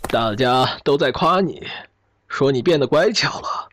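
A man's voice: medium pitch, lively intonation, a little slow, fairly bright tone, no room sound. A man acting a chatty, teasing member of a family circle in an audio drama. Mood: teasing, hearty.

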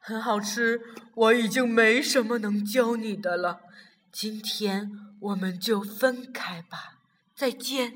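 A woman voicing a gruff male tyrannosaurus who turns into a tender father in a children's audio story. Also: high-pitched, a little slow, sharp tone, a little hiss or hum. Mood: sad, quiet. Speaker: voice actor. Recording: reverberant with music behind.

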